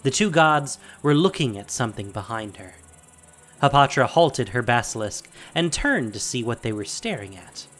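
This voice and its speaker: A man with a mid-pitched voice narrates dramatic fantasy as a scripted story. Faint music and insect sounds sit behind him. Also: sing-song, normal speed, a bit bright.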